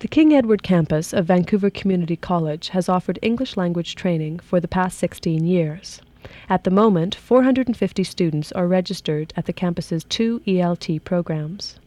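Steady, mellow-voiced woman reporter. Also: lowish voice, moderate pace. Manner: steady, informative read. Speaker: program host and reporter. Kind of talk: scripted radio documentary narration.

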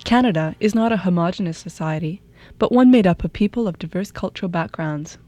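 A woman host reading scripted radio documentary narration in a measured way. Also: fairly low pitch, dark tone, no room sound. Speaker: program host and reporter.

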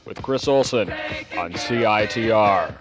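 A man's voice: lowish voice, measured pace. A man reading a radio show promo with a punchy announcer delivery. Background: music.

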